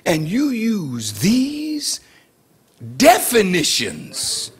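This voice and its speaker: Animated older man preaching. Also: sits high, very slow, breathy edge. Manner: preaching with rising shouts and acted mocking voices. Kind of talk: sermon.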